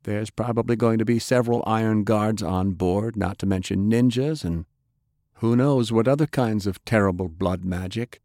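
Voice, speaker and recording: A man voicing a resolute, calm pirate airship captain in an audiobook, speaking in a slow, measured, rallying way. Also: fairly low pitch, dry recording, clean studio sound, natural voice. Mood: grim, frank. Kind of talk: audiobook character acting.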